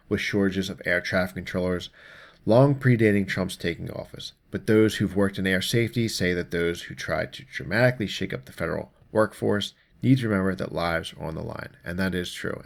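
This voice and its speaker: A man's voice: low voice, gravelly. A man hosting an explainer show, giving casual news commentary and reading articles aloud in an informal, conversational way. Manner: informal, conversational, mildly profane.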